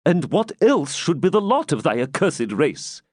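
A pompous, covetous churchman from a storybook, performed by a man holds forth in preachy archaic language. The pitch is somewhat raised and very animated, with a smug, self-important air. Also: a little slow, no echo, clean studio sound. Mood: pompous scorn. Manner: pompous, preachy, quoting scripture in Latin. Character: vain, pompous, covetous medieval churchman, male.

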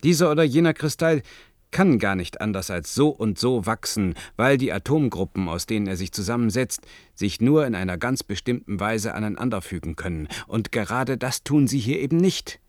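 An actor in a science-fiction radio play, playing an earnest, agitated amateur crystallographer on a submarine who explains things hesitantly yet insistently. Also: male voice, lowish voice, lively intonation, moderate pace, darkish tone, no room sound, studio quality, lightly acted. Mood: earnest, explaining.

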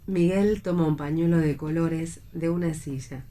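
A woman's voice: sits low, fairly even intonation, normal speed, mellow. A woman reading stage directions as the narrator of a scripted radio play.

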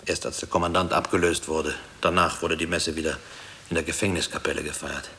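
Deep-voiced, haunted former prisoner. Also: male voice, fairly even intonation, fairly quick, pushed and bright, in a large hall, exaggerated voice. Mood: grave, reflective. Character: haunted husband, former prisoner, brooding over his past. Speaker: actor in a radio play. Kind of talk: 1960s German radio drama acting.

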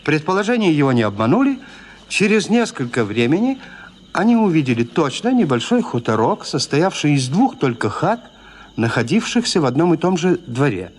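A man narrates a literary audio drama. His voice sits fairly high and moves with lively intonation. The delivery is measured, expressive storytelling. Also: slight background noise.

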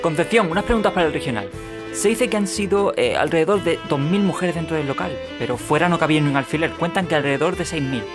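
Guy doing eager old-time reporter, talks fast, music behind him, radio play. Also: fairly high pitch, animated. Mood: inquisitive. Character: eager male newspaper reporter firing questions. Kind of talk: radio drama acting.

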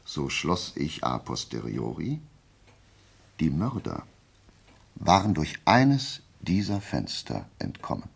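A brainy radio drama detective, really low husky voice, swoopy emphatic delivery, very sure of himself. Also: male voice, wildly swooping pitch, exaggerated voice. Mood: assured, analytical. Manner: deliberate, emphatic, didactic explaining. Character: methodical, self-assured male amateur detective reasoning aloud. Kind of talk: radio play dialogue.

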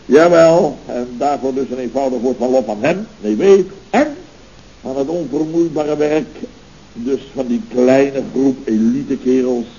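An actor playing a pompous, hot-tempered middle-aged contractor boss in a Dutch radio comedy serial, with bombastic, flowery, melodramatic delivery. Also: male voice, mid-range voice, a little slow, warm tone, natural voice. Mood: grandiose. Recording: muffled old radio recording with hiss.

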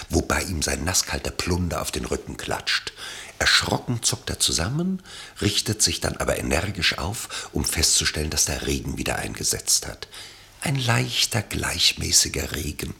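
A man narrating a radio play from a literary script in a measured, dryly ironic way. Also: a bass voice, sing-song, creaky, bright, forceful tone.

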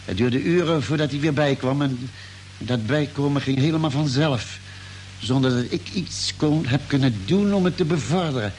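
A man playing a calm, caring spaceship doctor with a measured, deliberate way of explaining, in a vintage Dutch radio drama. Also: mid-range voice, close to an everyday voice. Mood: thoughtful, explaining. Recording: noisy old radio drama recording.

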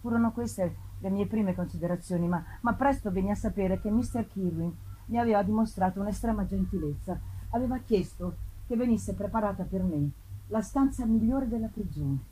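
Casting: female literary narrator, mid-range voice, theatrical and deliberate; live performance sound, slight background noise. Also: medium pitch, warm tone, rough recording. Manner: theatrical, deliberate, emphatic swells and drops. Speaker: narrator, reader. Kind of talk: dramatic reading of a gothic novel. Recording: live reading with faint ambient noise.

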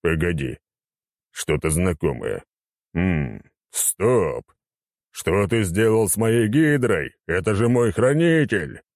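A man voicing a weary, pompous undead necromancer in an audiobook, with drawn-out, haughty grumbling. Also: low voice, very animated, a little mellow, no echo, clean studio sound, heavily acted. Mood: indignant.

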